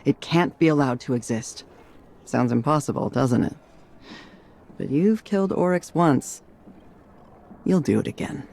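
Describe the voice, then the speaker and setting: An actor playing a grave, resolute military commander in a video game, giving a terse and serious briefing. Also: male voice, on the high side, lively intonation, gravelly, darkish tone, a little hiss or hum. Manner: terse, serious, measured urgency. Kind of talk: dramatic video game acting.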